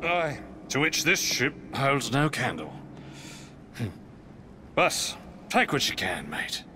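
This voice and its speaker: An actor playing a swaggering, roguish pirate captain with a theatrical, mock-grand way of speaking. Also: male voice, mid-range voice, very animated, slow, bright, forceful tone, a little hiss or hum, exaggerated voice. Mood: sly.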